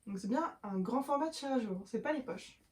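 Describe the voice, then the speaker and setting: Young woman vlogger, chatty and a little halting, mellow voice on the high side, recorded in a roomy space. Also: expressive, a little hiss or hum. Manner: chatty, with frequent fillers and self-corrections; shows books to camera while talking. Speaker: book vlogger. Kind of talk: casual chat to camera. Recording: echoey room.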